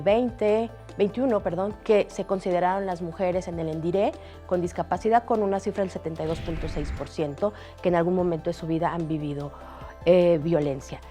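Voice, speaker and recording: A woman speaking as a guest expert in a studio interview, measured and steady, citing figures with frequent hesitations. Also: on the low side.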